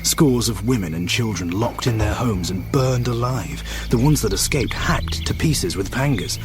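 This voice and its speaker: A male actor as a self-righteous British colonial official. He speaks forcefully and brushes objections aside, with music playing clearly underneath, in radio drama style. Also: on the low side, moderate pace, natural voice. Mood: angry, scornful. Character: hardline British colonial official, domineering husband in Kenya.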